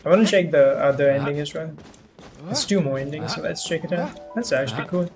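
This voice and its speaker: A man's voice: a little high, brisk. A man giving casual, chatty live commentary as he streams a game, reacting as he goes. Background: game music.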